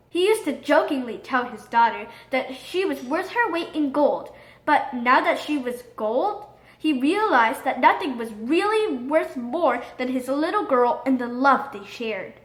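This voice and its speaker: A woman narrating a scripted children's storybook in an expressive, dramatic read-aloud manner. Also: high-pitched. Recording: roomy echo.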